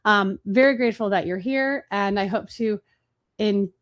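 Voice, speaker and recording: A woman hosting a marketing webinar, talking fast and casually with fillers and restarts. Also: medium pitch. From Chicago, USA. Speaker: webinar host.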